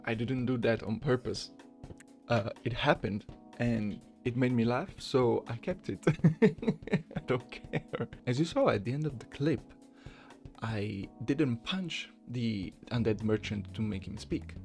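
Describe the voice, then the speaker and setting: A man's voice: mid-range voice, unhurried, a little mellow, faint music behind. A man giving casual, self-deprecating commentary to his viewers in a hesitant, giggly way. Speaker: voice challenge video creator.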